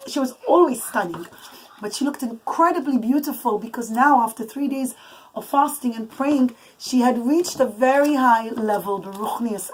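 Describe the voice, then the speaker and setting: A woman's voice: fairly high pitch, breathy edge. A woman telling a bedtime story to children as a mother, improvising in a dramatic, emphatic way with asides to the listeners. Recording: noisy home recording with clicks. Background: young children chattering.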